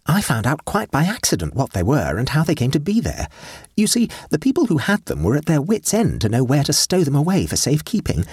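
A man's voice: fairly high pitch, a little mellow, husky, no room sound, clean studio sound, voice pushed up, full character voice. A man reading an audiobook and voicing a mischievous, fanciful sixteen-year-old girl in an airy, conspiratorial way.

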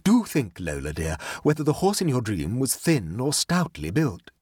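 A man's voice: mid-range voice, huge pitch swings, moderate pace, a little mellow, husky, no room sound, studio quality, pitched above the performer's own voice. Man voicing a gracious, lilting society hostess. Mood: coaxing. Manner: solicitous, coaxing, polite. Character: gracious, soothing society hostess, a married lady. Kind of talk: audiobook character dialogue.

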